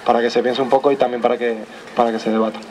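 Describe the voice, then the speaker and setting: A young man speaking up from the audience at a public street assembly. He talks earnestly and haltingly, restarting phrases and ending points with tag questions. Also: medium pitch, level tone. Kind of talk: spontaneous public debate contribution. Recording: outdoor recording with clear street noise. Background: traffic and city noise.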